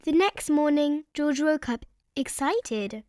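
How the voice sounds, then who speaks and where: A woman reading a children's story aloud with expressive, dramatic narration. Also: high-pitched, very noisy. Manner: slow, expressive, dramatic delivery. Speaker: story reader.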